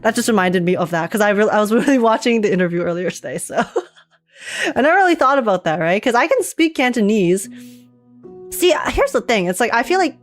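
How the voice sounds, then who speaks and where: A woman's voice: mid-range voice, expressive, a little fast, soft background music. A woman streaming a live game reaction, rambling casually with lots of fillers and frequent laughs.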